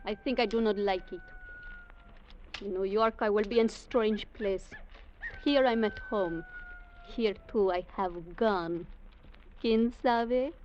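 An actress playing a fiery, distrustful young woman with a Mexican Spanish accent and halting English in an old radio adventure drama. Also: medium pitch, lightly acted. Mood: guarded, defiant. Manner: defiant, halting non-native English phrasing. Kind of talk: 1940s-50s adventure radio drama acting. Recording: muffled, noisy vintage radio recording.

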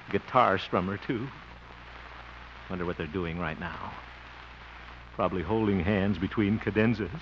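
A man's voice: sits low, lightly acted. An actor playing a jealous, bumbling grown man who mutters to himself in a 1950s radio sitcom. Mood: sulky. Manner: blustery, flustered muttering to himself. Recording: dull old radio recording with loud hiss.

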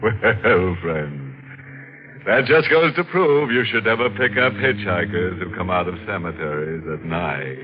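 An actor playing a gleefully macabre, tongue-in-cheek host of a 1940s radio horror show, with sardonic, mock-sinister delivery. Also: male voice, low-pitched, big pitch swings, a little slow. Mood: ghoulish amusement. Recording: muffled old radio broadcast. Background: music.

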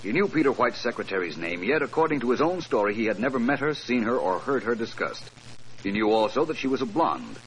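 An American man playing a suave, self-assured detective in a 1940s radio drama, speaking in measured, precise phrases. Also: mid-range voice, expressive, lightly acted. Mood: explanatory, assured. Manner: measured, precise, probing questioning and dictation. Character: suave, self-assured male detective solving a murder case. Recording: noisy old 1940s radio recording.

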